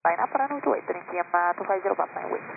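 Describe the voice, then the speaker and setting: A male pilot reads back clearances over a thin radio link with faint static. His voice is high and noticeably creaky, and he hesitates a little on his call sign. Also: expressive, racing, narrow, tinny audio. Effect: radio transmission. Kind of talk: radio readback to air traffic control.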